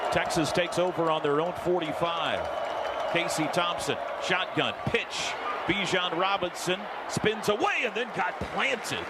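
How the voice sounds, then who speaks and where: An American man calls football live on radio as the play-by-play announcer. His voice sits fairly high. A very loud crowd and heavy stadium noise fill the space behind him.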